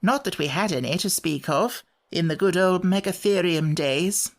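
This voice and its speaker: A man voicing a cranky, put-upon little furry wish-granting creature from a storybook, with a shrill voice that cracks when worked up. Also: normal speed. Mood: agitated. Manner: indignant, complaining.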